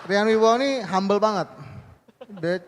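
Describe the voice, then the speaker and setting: A man's voice: sits high, fairly quick, a little hiss or hum. A man moderating a conference panel, chatty and joking as he mixes two languages. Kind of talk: live panel hosting. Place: conference hall. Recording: long reverb of a big hall.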